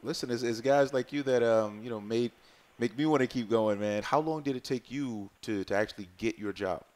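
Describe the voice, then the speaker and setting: A man's voice: mid-range voice, fairly quick, a little mellow. A middle-aged man speaking casually and supportively as an interviewer on a headset mic. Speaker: interviewer, HVAC tutor. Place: indoors, plain room.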